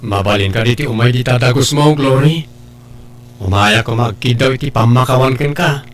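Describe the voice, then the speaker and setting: A man's voice: medium pitch, normal speed, close to an everyday voice. A man with a Filipino accent playing a regretful young husband, plaintive and complaining, in a comedy drama. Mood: regretful, pleading.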